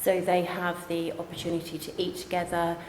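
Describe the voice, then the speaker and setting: A woman's voice: fairly low pitch, monotone, fairly quick, darkish tone, slight background noise. A woman on a college's staff speaking earnestly and explaining things in an informal promotional interview. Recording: echoey reverberant room, music behind. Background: background music.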